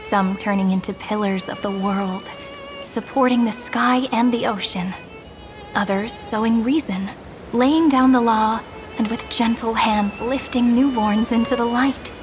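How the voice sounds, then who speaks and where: Casting: a woman voicing a gentle game prophetess, soft and reverent, somewhat high, with score underneath. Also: a little slow, lightly acted. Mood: solemn. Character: gentle wistful young woman prophesying a new world. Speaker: game character. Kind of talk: game cutscene voice acting. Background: orchestral music.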